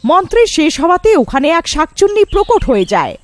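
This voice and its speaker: A woman's voice: very high-pitched, big pitch swings, fairly quick, no echo, faint music behind. A woman narrating a scripted story as its storyteller.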